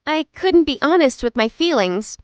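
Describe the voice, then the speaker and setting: A woman voicing a shy, clumsy teenage girl in a manga dub, bubbly and quick to apologize. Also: high-pitched, expressive, no room sound. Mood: apologetic.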